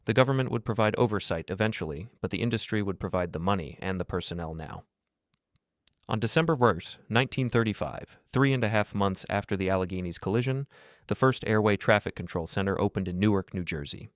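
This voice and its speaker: A man reading scripted documentary narration in a measured, grave manner. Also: on the low side, no room sound, clean studio sound.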